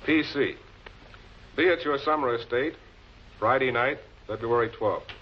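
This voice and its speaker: An actor playing a composed, authoritative prosecutor in a 1940s television crime drama, speaking in a measured, deliberate, commanding way. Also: male voice, medium pitch, lightly acted. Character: composed, authoritative male public prosecutor cornering murder suspects. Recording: muffled, noisy old film soundtrack.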